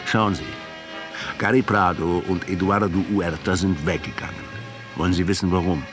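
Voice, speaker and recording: A detached Argentine writer played by a man questions with deliberate insistence. His voice is very low, with faint music in a radio play setting. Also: fairly even intonation, close to an everyday voice. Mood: probing. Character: probing Argentine writer interrogating a doomed revolutionary, detached. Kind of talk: radio drama acting.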